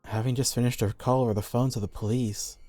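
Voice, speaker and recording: A man's voice: mid-range voice, fairly even intonation, fast, dark tone. A man reading a scripted horror story as the narrator of an audio play. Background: background music.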